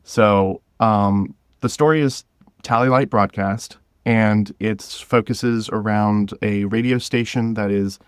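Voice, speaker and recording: A man chatting casually as an interview guest, halting as he searches for words. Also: fairly low pitch, clean tone, dry recording, studio quality. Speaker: podcast guest, writer and creator.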